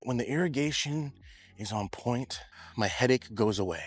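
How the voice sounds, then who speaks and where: A man's voice: medium pitch, lively intonation, normal speed, breathy edge, soft background music. Laid-back, slangy man vlogging outdoors. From Pacific Northwest. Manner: laid-back, chatty, slangy, says bro and dude a lot. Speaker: lawn care vlogger. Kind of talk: casual vlog talk to camera. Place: outdoors in a yard.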